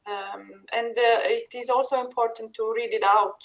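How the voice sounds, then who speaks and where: A woman explaining their work as a translator in a panel discussion, haltingly and with many pauses. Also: on the high side, fairly even intonation, rough recording. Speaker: poetry translator.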